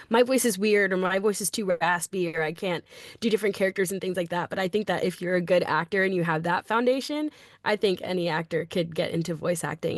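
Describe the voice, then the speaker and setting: A woman's voice: lowish voice, dry recording, clean studio sound. A woman who does voice acting and narration, chatting casually and upbeat on a panel. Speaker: voice actor and narrator. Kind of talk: panel chat.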